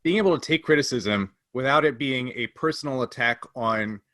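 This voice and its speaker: A man's voice: medium pitch, moderate pace, a bit bright, no echo, studio quality. A man co-hosting a podcast, talking casually and explaining his points in an earnest way. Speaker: podcast co-host. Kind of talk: casual discussion.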